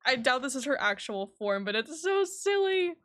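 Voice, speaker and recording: A woman livestreaming a video game, commenting casually in a giggly, exclamatory and expressive way. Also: sits high, normal speed. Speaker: game streamer. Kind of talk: livestream game commentary, reading dialogue aloud.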